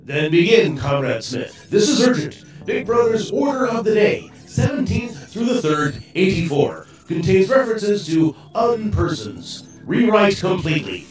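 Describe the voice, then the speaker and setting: A woman playing a commanding, merciless telescreen of a totalitarian state in a radio drama, stern and declamatory through a loudspeaker effect. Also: mid-range voice, sing-song, measured pace, few pauses, faint music behind. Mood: stern interrogation. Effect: echoing public-address loudspeaker. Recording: heavily echoing, distant loudspeaker sound.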